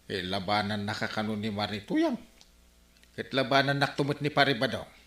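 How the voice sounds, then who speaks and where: An actor playing a dismissive village captain in a Filipino comedy radio drama, with an Ilocano accent. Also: male voice, on the low side, animated, normal speed, fairly bright tone. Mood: unworried. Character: village captain, animated, dismissive comic official. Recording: roomy, echoing studio sound.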